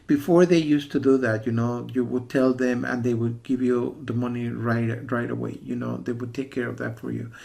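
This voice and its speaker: Male reviewer from the US, mid-pitched with a creaky, gravelly edge; rambling, insistent, repetitive, casual warning delivery. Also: steady tone, normal speed, few pauses, a little mellow. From USA. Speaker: software reviewer.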